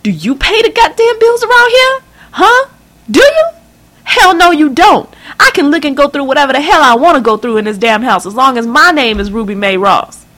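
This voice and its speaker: A woman shouting as a furious cursing mother. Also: sits high, expressive, normal speed, voice pushed up. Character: enraged cursing mother, middle-aged woman.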